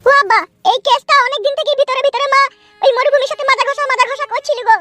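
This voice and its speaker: A woman's voice: very high voice, steady tone, quick pace, over loud music. A woman playing a young village daughter in a comic cartoon, plain-spoken and insistent as she consoles and scolds.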